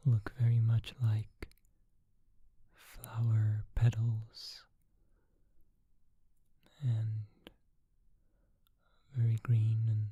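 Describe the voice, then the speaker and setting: A slow, soothing whisper reads a fantasy tale aloud, breathy and dark. The delivery is flat and unhurried, recorded close and dry with a dull, lo-fi edge. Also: mid-range voice, dry recording. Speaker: storyteller. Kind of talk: whispered ASMR storytelling.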